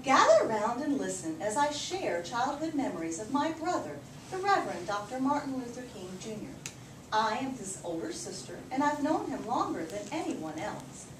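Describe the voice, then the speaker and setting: In a roomy, noisy recording, a woman reads a children's book aloud from a little way off the mic. Her voice swings widely in pitch as she tells the story with clear emphasis for young listeners. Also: big pitch swings, normal speed, far from the mic. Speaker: read-aloud teacher. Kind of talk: expressive picture book read-aloud.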